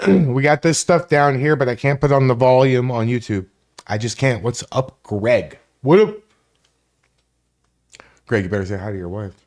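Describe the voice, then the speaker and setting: A man's voice: medium pitch, normal speed, pauses often, a little mellow. A man from Florida hosting a casual live stream, rambling, greeting chat viewers by name and swearing freely. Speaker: livestream host.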